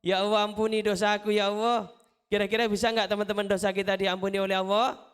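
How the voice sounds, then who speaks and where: A man giving an animated, interactive Islamic motivational talk to schoolchildren, asking questions and repeating phrases. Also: high voice, fast, clean tone. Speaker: children's storyteller and motivational speaker. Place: live event at a school before children.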